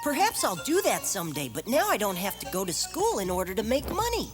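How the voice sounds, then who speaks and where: A woman voicing an eager, earnest young boy with an American accent in an animated fairy tale. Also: medium pitch, fast. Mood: confident, cheerful. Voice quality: youthful, boyish. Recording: music under the dialogue.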